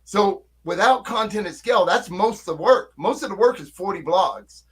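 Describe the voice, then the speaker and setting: A man's voice: sits high, expressive, normal speed, fairly bright tone, husky. An older man who runs a marketing agency, talking casually and emphatically in an interview, often checking in with "right?". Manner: talkative, emphatic, frequent "right?" check-ins.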